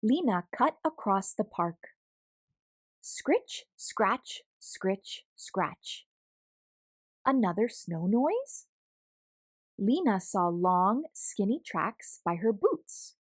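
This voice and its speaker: A woman reads a picture book aloud for children. Her delivery is expressive and deliberate, with a very lively melody and an unhurried pace. The sound is dry and close. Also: medium pitch, dry recording. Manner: animated, deliberate storytelling with acted sound words. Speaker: storybook read-aloud host.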